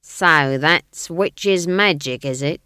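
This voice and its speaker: A woman's voice: deep voice, slow, bright, forceful tone, clean tone, no room sound, studio quality, exaggerated voice. A woman voicing a big, surly, scornful prison guard with a blustering, emphatic delivery in a storybook reading.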